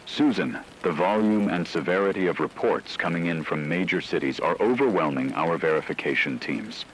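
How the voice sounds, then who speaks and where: A man plays a grave radio news anchor with a low-pitched voice. He reads dramatic disaster reports in a formal, deliberate way. A faint rain-like noise sits behind his words. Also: close to an everyday voice, low-quality recording. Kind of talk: scripted dramatic news narration.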